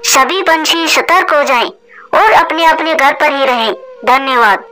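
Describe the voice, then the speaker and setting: A woman's voice: sits high, unhurried, sharp tone, a little hiss or hum, rough recording. A woman voicing a formal jungle radio newsreader in a children's cartoon, announcing a bulletin.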